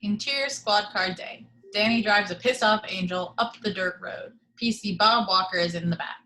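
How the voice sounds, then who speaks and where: Woman reading stage directions, bright tone, even and steady. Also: mid-range voice, normal speed, a little hiss or hum, low-quality recording. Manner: steady, matter-of-fact reading. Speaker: reader of the action description. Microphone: video call.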